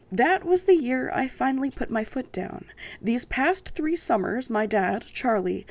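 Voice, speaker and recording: Woman, gravelly croaky voice, sarcastic book reader, coughs and sniffles. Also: mid-range voice, darkish tone. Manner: sassy and sarcastic. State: croaky from a sinus infection. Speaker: book channel host. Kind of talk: reading a novel aloud with commentary.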